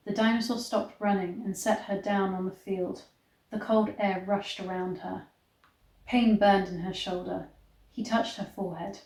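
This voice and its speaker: A woman reading a story aloud in a measured, theatrical storybook style. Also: mid-range voice, steady tone, darkish tone. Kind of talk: dramatic storybook reading with disgusted asides. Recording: echoey, roomy sound.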